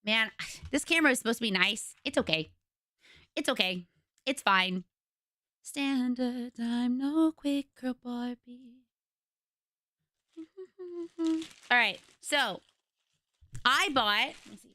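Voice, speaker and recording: A young woman streamer with a raspy voice, chatting casually and rambling to her viewers from home. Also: a little high, slow, long pauses, sharp tone, dry recording. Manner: chatty, rambling, retells conversations.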